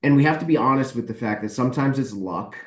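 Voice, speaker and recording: A man's voice: medium pitch, quick pace. A man talking casually and emphatically on a podcast as an author, giving his opinions. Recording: roomy echo, distant from mic.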